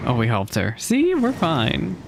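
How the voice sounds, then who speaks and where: A man's voice: fairly high pitch, huge pitch swings, a little slow, creaky, background noise. A man streaming a game with casual, playful, slangy and exclamatory live commentary. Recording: game music faintly behind. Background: game music and dialogue.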